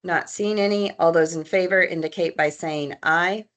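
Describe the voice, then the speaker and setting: A woman's voice: on the low side, normal speed. A woman chairing a public commission meeting, speaking in a formal, procedural manner.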